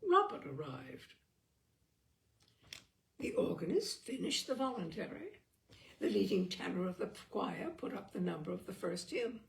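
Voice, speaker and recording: Animated, creaky-voiced woman reading a story. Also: lowish voice, a little slow, pauses often, slight background noise, poor audio. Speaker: storyteller, audiobook reader. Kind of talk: expressive literary narration of a comic short story.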